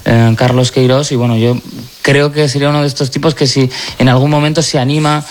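A man's voice: mid-range voice, flat delivery, brisk. A man on a sports radio panel, talking football in a rambling way with many fillers. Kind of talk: casual football chat.

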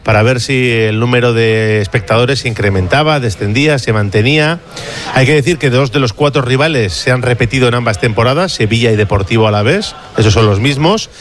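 A man presenting football news on the radio in a steady, informative way with light asides. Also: medium pitch, a little fast. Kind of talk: scripted sports news presenting.

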